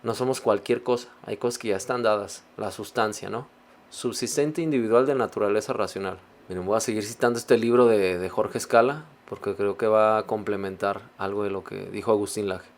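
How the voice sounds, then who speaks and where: A man hosting a reaction video, reading passages aloud and commenting on them in a measured, reflective way. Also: fairly low pitch, fairly even intonation.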